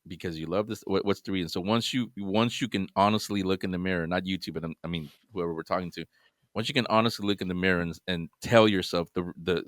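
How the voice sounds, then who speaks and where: A man's voice: low-pitched, dry recording, studio quality. A man guesting on a podcast, giving earnest advice in casual chat, often restarting his sentences.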